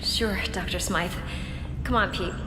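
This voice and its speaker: A woman's voice: mid-range voice, unhurried, heavy background noise, close to an everyday voice. An actress playing an earnest, anxious young woman in a video game cutscene, sincere and worried with hesitant delivery. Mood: uneasy. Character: earnest, anxious young female lab insider. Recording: echoey room under loud music. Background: loud music.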